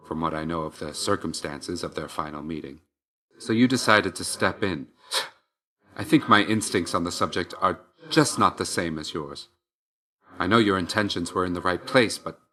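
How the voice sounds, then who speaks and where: Brooding, deep-voiced god of death. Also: male voice, bright, forceful tone. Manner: formal, measured, reserved. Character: brooding dutiful male god of death, stern and reserved. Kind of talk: video game character voice lines.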